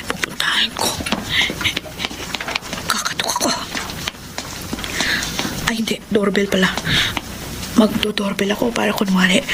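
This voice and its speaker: A woman's voice: mid-range voice, very noisy. An actress playing a suspicious, hurt wife in a melodramatic Tagalog radio drama, speaking her thoughts aloud. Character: distraught betrayed wife, suspicious then furious.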